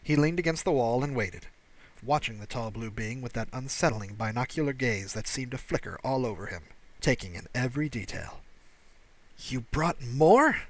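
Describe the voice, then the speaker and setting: A man's voice: medium pitch, brisk. A man reading science fiction as an audiobook narrator in scripted storytelling style.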